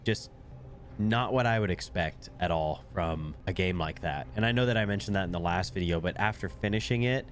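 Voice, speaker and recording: A man's voice: low voice, brisk, slight background noise. Congested young man, laid-back gaming chat. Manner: laid-back, rambling with fillers. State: congested, nasal. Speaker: gaming video creator. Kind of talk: casual gameplay commentary. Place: at his desk playing video games.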